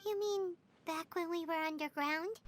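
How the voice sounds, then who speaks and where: A woman voicing a small, excitable, cheerful childlike fairy companion in a video game, with a chirpy manner. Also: extremely high pitch, normal speed, slight background noise. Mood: curious. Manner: chirpy, refers to self in third person.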